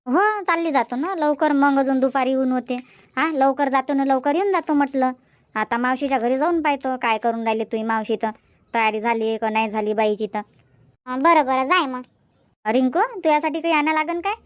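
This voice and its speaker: A woman's voice: sits high, fairly bright tone, dry recording. A woman voicing a chatty village mother in a cartoon comedy, speaking colloquial Varhadi Marathi in a homely way. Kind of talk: cartoon comedy dubbing, everyday family chat.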